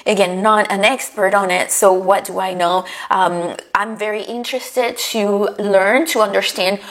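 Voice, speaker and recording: A woman's voice: medium pitch, steady tone, unhurried, fairly bright tone. A woman from Chile talking to her viewers in a casual vlog, explaining travel matters step by step with frequent fillers. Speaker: travel vlogger.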